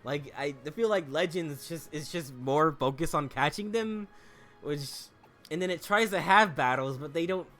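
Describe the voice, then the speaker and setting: A man giving joking gameplay commentary, animated and peppered with "like". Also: fairly high pitch, normal speed, soft background music. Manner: animated, joking, heavy use of "like". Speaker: let's play host.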